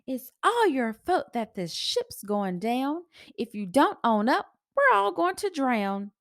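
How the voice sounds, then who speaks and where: A frightened storybook ship's captain, put on by a woman reader, crying out and laying blame. The pitch leaps high and swings about with urgency. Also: sing-song, slow, dry recording, clean studio sound, heavily acted. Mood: panicked, blaming. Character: scared, blaming male ship's captain in a storm. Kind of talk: children's storybook read-aloud.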